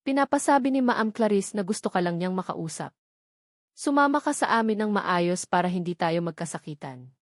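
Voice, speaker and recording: A woman's voice: mid-range voice, expressive, normal speed, dry recording, close to an everyday voice. Menacing henchman, read by a female narrator, commanding and cold, audio drama, close dry sound. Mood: cold menace. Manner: threatening, commanding.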